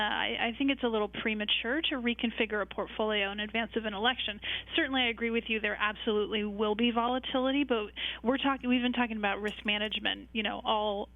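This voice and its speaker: A woman giving conversational financial commentary as a radio guest, measured and a little hesitant. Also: mid-range voice, creaky, no room sound. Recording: narrow, phone-like dry line.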